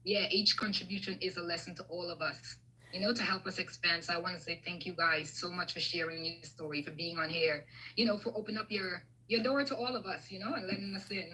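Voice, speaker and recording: Fervent woman from Guyana, bright voice. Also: on the low side, steady tone, quick pace, low-quality recording. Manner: fervent, preaching, repeats herself. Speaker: guest speaker on a live video call. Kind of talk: emotional testimony.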